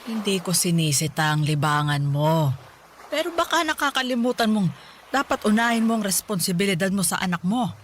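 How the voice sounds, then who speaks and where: A woman's voice: medium pitch, animated, a little fast, a little hiss or hum, lightly acted. A woman playing a caring but scolding old grandmother in a Filipino radio drama, speaking with reproachful, pleading emphasis. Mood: chiding.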